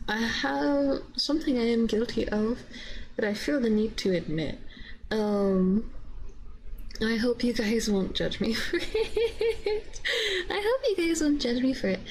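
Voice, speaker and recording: Female streamer, casual soft-spoken chat with a creaky edge, close ASMR mic, slight background noise. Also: on the high side, poor audio. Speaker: streamer, host of a VTuber live stream. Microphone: binaural ASMR microphone.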